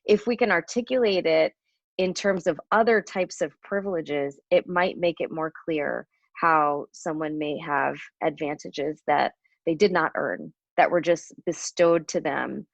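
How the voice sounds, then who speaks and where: A woman's voice: low-pitched, a little slow, no room sound, highs cut off. A woman in her forties from the United States, a psychotherapist guest speaking in a chatty, reflective way. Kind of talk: conversational interview.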